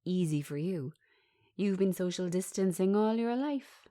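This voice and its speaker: A woman's voice: mid-range voice, lively intonation, unhurried, mellow, lightly acted. A woman voicing an irritable, defensive young man fed up with lockdown, grumbling bluntly in a script reading.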